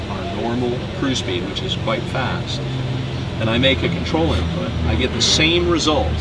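A man in his forties, a demonstration pilot, explaining technical systems precisely and calmly inside an aircraft cockpit. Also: fairly low pitch. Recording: loud ambient noise.

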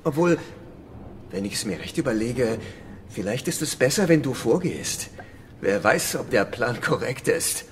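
An actor playing a ruthless, scheming island leader in a radio drama, menacing and mocking. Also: male voice, medium pitch, big pitch swings, unhurried, slight background noise. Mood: cold menace. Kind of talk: German radio drama acting.

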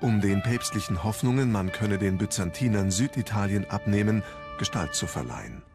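A man with a fairly low voice narrates a history documentary. He reads a scripted story as a narrator would. Music plays clearly behind his voice throughout. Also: expressive, normal speed. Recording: music bed under the voice. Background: background music.

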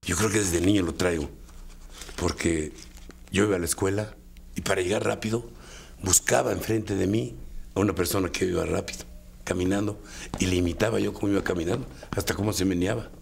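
A man's voice: low-pitched, fairly even intonation, fairly quick. An older man with a Mexican accent, a sound effects artist reminiscing casually and colloquially in an interview. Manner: colloquial, fond of diminutives. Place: dim indoor recording studio. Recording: clear background noise.

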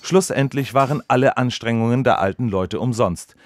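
A man's voice: mid-range voice, moderate pace, close to an everyday voice. A man playing an earnest, formal radio reporter in mock-serious broadcast style. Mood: solemn, deadpan.

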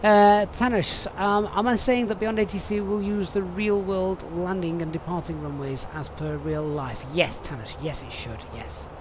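A man's voice: high-pitched, fairly even intonation, normal speed, hardly any pauses, background noise. A man streaming a flight simulator, chatting and reading out viewer questions with many ums and uhs. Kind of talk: live stream commentary.

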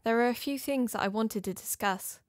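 A woman's voice: fairly high pitch, normal speed, no echo, studio quality, close to an everyday voice. A woman playing an uneasy investigator, narrating her story in scripted first person, confidingly. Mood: uneasy, reflective.

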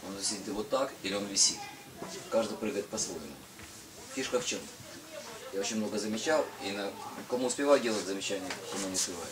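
A man's voice: fairly low pitch, fast. A middle-aged man giving an explanatory safety talk as an instructor, emphatic and repeating his key points. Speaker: parachute instructor. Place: indoor equipment hangar with small audience. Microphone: camera some metres away. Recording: distant mic, background group noise.

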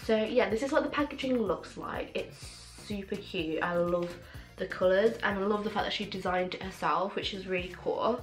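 A woman's voice: mid-range voice, moderate pace. Young girl with a sore throat gushing over makeup, faint music behind. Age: young adult. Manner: gushing, excitable, many fillers. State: has a cold and sore throat. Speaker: beauty vlogger. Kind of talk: casual makeup review. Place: small room at home, indoors. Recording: echoey room with faint music.

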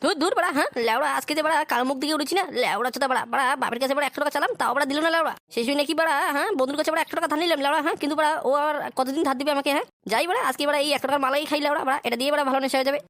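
Man putting on a very high-pitched, whiny loafer son. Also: very fast, hardly any pauses, pushed and bright, no room sound, exaggerated voice. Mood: grumbling. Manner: whining, foul-mouthed, grumbling. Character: idle, wheedling grown son, village loafer. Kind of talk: crude adult comedy cartoon dubbing.

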